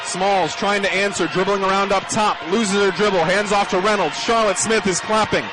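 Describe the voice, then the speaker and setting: A man's voice: sits high, fairly even intonation, moderate pace. A young man doing live radio basketball play-by-play in clipped, punchy phrases with a raised voice. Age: young adult. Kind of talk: live radio sports commentary. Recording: noisy gym ambience. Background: bouncing basketballs, gym noise.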